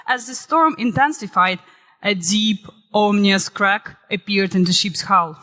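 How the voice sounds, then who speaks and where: A woman, recovering from illness and saving her voice, giving a lively conference talk that shifts into dramatic storytelling. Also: mid-range voice, unhurried. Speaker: conference speaker. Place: conference hall. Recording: reverberant hall.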